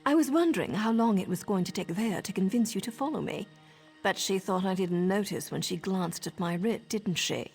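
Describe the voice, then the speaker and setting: An actress playing a poised professional assassin and mentor in a video game, with a wry, measured, self-assured delivery. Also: female voice, mid-range voice, creaky, darkish tone, faint music behind, natural voice. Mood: wry, teasing.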